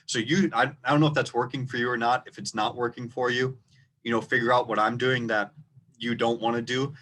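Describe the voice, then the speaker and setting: A man with a rural Minnesota accent, a debate coach teaching students in an instructional video, speaking loudly and deliberately so each word stands apart. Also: mid-range voice, fairly even intonation.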